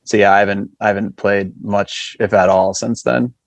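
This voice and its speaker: American male musician, podcast guest, lower voice, casual, close dry recording. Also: fairly even intonation, moderate pace, creaky, no room sound. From western Massachusetts, USA. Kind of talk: casual chat.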